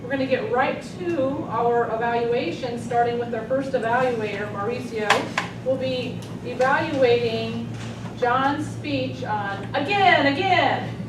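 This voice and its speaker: A woman briskly introducing evaluators as she runs a club meeting. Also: fairly high pitch. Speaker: general evaluator running the session. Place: indoor meeting room. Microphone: distant room mic. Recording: roomy, distant, loud-noise room recording.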